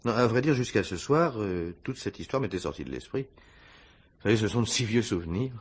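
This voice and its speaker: Evasive, husky ex-detective. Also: male voice, lowish voice, lively intonation, fairly quick. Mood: guarded. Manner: hesitant, evasive, feigning casualness. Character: evasive, uneasy male former private detective. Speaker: actor in a radio mystery play. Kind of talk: French radio crime drama acting.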